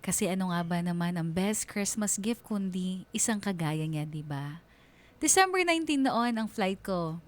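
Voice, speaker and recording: A woman's voice: medium pitch, lively intonation, moderate pace, lightly acted. An actress playing a smitten young woman narrating her own love story for a radio drama, confiding and giddy.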